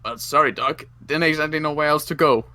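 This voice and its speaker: A man acting as an injured, wry survivor, groaning and halting through pain in a game roleplay. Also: fairly high pitch, sharp tone, dry recording. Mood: pained, earnest.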